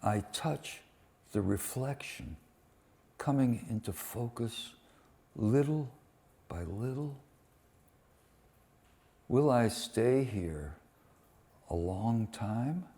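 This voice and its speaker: A man presenting and reading poems at a live event, with deliberate, dramatic phrasing and pauses. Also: medium pitch, expressive, dark tone. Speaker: poetry reader and presenter. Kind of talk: live poetry reading with informal introductions. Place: public poetry reading at a museum.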